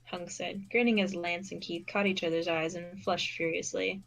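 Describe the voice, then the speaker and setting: Low-voiced woman reading a story. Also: little pitch movement, normal speed, vocal fry. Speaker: fan fiction reader and narrator. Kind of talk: scripted narration of a story read aloud.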